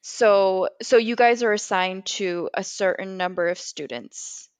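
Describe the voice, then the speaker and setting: A woman's voice: mid-range voice, a little slow, clean tone, dry recording. A woman with an American accent from California, co-hosting a podcast in a casual, conversational way. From California, USA. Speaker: podcast co-host.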